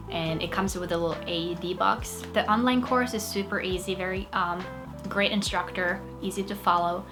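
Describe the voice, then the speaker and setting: A young woman from Norway with a Norwegian accent, chatting casually and upbeat to camera about her own experience, with self-deprecating asides. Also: fairly low pitch. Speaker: vlogger, actress. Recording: music playing behind. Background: music.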